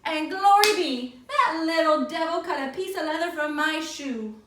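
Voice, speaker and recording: A woman voicing fond, lively elderly great-aunts in a children's storybook, doting and given to exclaiming. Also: sits high, normal speed, noisy background, voice pushed up. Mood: amazed. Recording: echoey room, distant microphone.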